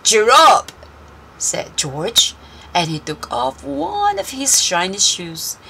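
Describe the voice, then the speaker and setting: A woman reading a children's story aloud in an expressive, deliberate and animated way. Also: mid-range voice, gravelly. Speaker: storybook reader. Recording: faint background noise.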